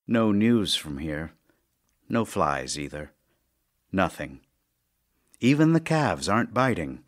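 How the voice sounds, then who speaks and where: An actor playing a middle-aged Russian playwright in an audio drama, wry and lovesick, with theatrical, deliberate pauses. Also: male voice, fairly low pitch. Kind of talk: theatrical audio drama, reading love letters.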